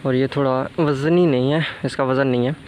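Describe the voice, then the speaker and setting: A man's voice: medium pitch, steady tone, fairly quick, a little mellow. A young man in his twenties walking through farm fields and casually explaining what he is doing while filming himself on a phone. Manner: casual and explanatory, mixes English words into his talk. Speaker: vlogger. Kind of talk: casual walking vlog narration. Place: outdoors among sugarcane fields and dirt paths. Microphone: phone camera held at arm's length. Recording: outdoor phone audio with clear background noise.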